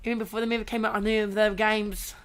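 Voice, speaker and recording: A woman's voice: mid-range voice, little pitch movement, fast, fairly bright tone. A woman co-hosting a podcast in casual chat, talking in short, choppy phrases with repeats and restarts. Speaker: podcast co-host. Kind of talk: casual chat about video game movies.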